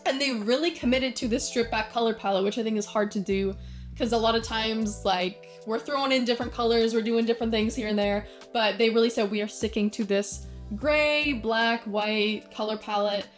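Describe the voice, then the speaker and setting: A woman's voice: on the high side, steady tone, moderate pace, faint music behind. A young woman vlogging casually about brand design, chatty and full of fillers, with self-deprecating asides.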